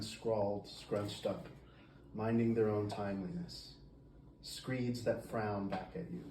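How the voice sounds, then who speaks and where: A woman's voice: rumbling low, dark tone. A woman reading a poem aloud. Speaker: family member reading a poem. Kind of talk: poetry reading. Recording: echoey, distant mic, clear background noise.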